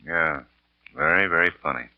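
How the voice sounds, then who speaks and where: An actor playing a blunt, sarcastic district attorney's investigator in a 1940s radio crime drama. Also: male voice, deep voice, big pitch swings, moderate pace, gravelly, very noisy, full character voice. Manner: blunt, dry. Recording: tinny, narrow vintage radio recording.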